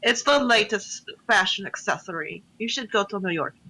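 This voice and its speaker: A woman's voice: medium pitch, expressive, moderate pace, sharp tone, rough recording. A woman playing a tabletop game, casual, with short easygoing replies. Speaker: player.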